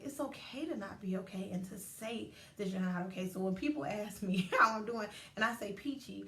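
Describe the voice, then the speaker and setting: Thirty-something woman host, lively and talky, recorded at a distance in a reverberant room. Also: on the high side. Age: 30s. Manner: animated, chatty, acts out inner voices. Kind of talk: casual livestream talk. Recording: roomy echo, distant from mic.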